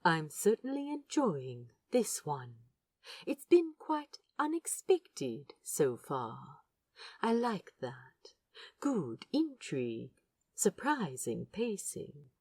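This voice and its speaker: Gentle scholarly animal lover woman as a man, tender and reverent, slow delivery, dry close sound, for fan audio drama. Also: lowish voice, wildly swooping pitch, breathy edge, no echo, exaggerated voice. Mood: fondly amused. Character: gentle, learned man fond of sea animals.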